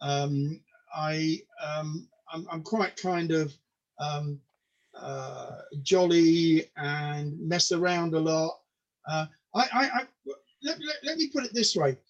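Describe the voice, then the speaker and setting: High-voiced man in his mid-sixties, Polish first language. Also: slow, highs cut off. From United Kingdom. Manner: stammering repetitions, self-deprecating. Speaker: podcast guest, comedian. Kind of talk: casual interview chat.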